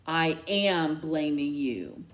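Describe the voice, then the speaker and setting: A woman's voice: low-pitched, lively intonation. A woman voicing a furious, protective mother in a storybook, stern and unforgiving, biting out emphatic words. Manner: slow, emphatic, clipped commands.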